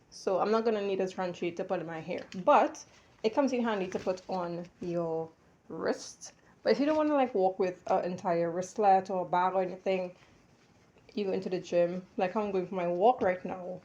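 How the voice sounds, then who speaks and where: A woman's voice: mid-range voice, darkish tone, muffled recording. A woman dressmaker talking casually to a tripod camera in her home sewing studio, rambling and chatty with a slightly stuffy, under-the-weather voice. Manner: chatty and rambling, many fillers and restarts. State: a little stuffy from a cold. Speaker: vlogger and dressmaker. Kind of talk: casual vlog chat to camera.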